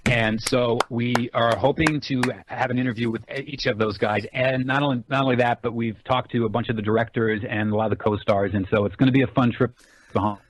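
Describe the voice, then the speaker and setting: A man's voice: mid-range voice, steady tone, brisk, no room sound, low-quality recording. A middle-aged American man speaking as an interview guest over an internet call, telling stories in a casual, eager, rambling way. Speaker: guest, actor and film director. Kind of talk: casual, chatty interview storytelling.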